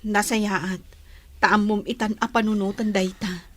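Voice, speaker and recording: Gentle Ilocano wife played by an actress, mid-pitched. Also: female voice, fairly even intonation, unhurried, close to an everyday voice. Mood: gentle, encouraging. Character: gentle young wife and mother, patient, forgiving. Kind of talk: Ilocano radio drama acting.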